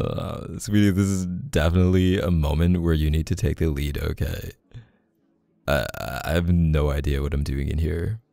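A man's voice: a bass voice, vocal fry, warm tone, dry recording. A man performing a casual, intimate roleplay as a playful, bashful, affectionate boyfriend, talking one-sidedly with a hesitant manner.

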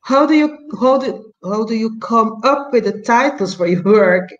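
An older woman from Curaçao hosting an interview over a video call, speaking hesitantly with many pauses and rephrasings. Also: a little high, animated. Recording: dull lo-fi call audio.

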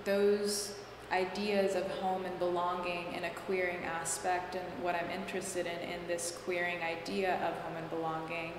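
A young woman artist speaking in a thoughtful, academic, unhurried way in an interview, recorded in a gallery. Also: on the low side. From United States. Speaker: artist interviewed about her show. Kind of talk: reflective interview answers. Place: gallery with hard walls. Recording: echoey gallery room, noisy.

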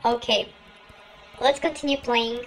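A man's voice: fairly even intonation, measured pace, gravelly, a bit bright, slight background noise, heavily acted. A young man voicing a little girl through a real-time voice changer, giving casual game commentary. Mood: playful, relaxed. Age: young adult. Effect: real-time pitch-raising voice changer.

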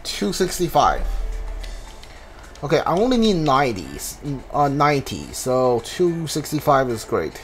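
A man giving casual video game commentary, thinking aloud haltingly and repeating his words as he plays. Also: mid-range voice, huge pitch swings. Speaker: gamer, playthrough host. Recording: game music behind the voice. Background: video game music.

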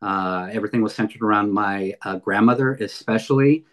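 A man's voice: lowish voice, brisk, fairly bright tone. A man in his fifties from California's San Fernando Valley, giving a heartfelt webinar talk over a video call with many fillers and restarts. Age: 50s. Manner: earnest, frequent fillers and restarts. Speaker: guest speaker. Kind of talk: webinar presentation.

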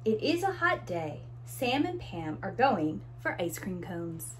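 A woman in her thirties reading a picture book aloud to young children, with deliberate, expressive phrasing. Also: mid-range voice, slight background noise. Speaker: preschool teacher, story time host. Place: small room indoors with bookshelves.

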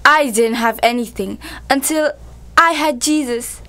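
Woman acting high-voiced, steadfast young prisoner. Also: a little slow, bright, forceful tone, exaggerated voice. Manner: earnest. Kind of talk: amateur student radio drama acting.

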